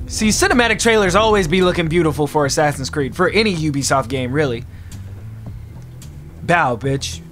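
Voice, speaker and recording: A man in his twenties giving an excitable, slangy live reaction as a video host, recorded indoors at home. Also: on the high side, fast, few pauses, soft background music. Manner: animated, exclamatory, slangy. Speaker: YouTube host reacting to a game trailer. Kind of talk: casual live reaction vlog. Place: small furnished room at home, indoors.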